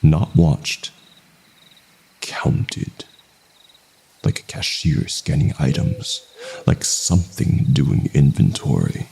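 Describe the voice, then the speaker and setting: Male horror narrator: dark, mellow tone, very slow and suspenseful, heavy vocal fry. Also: extremely low pitch, very animated. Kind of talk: scripted first-person horror narration.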